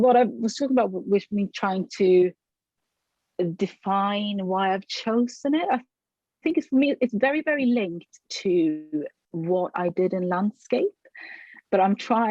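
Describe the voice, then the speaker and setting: A woman's voice: medium pitch, mellow, no echo. Thoughtful Swedish-born woman, dry dull sound. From Sweden. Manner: thoughtful, hesitant, many fillers and restarts. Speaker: ceramic artist, interviewee. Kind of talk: conversational interview answers.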